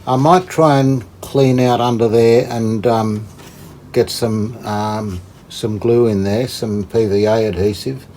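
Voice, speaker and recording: A man giving casual hands-on commentary as a hobby restorer, thinking aloud in a tentative, halting way. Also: fairly low pitch, fairly even intonation, darkish tone. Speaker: host, hobbyist radio restorer. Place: indoors, hobby workshop. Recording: clear background noise.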